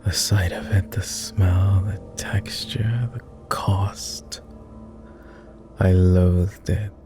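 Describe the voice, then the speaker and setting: A man playing a weary, courtly vampire lord in an ASMR roleplay, murmuring formally and hesitantly with many pauses, close to the microphone. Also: low-pitched, steady tone, over music. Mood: bitter.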